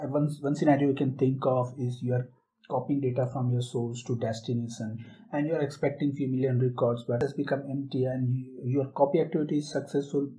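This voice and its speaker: Man, tech tutorial presenter, mellow dark tone, step-by-step explaining, casual fillers. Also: mid-range voice, rough recording. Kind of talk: screen-share software tutorial.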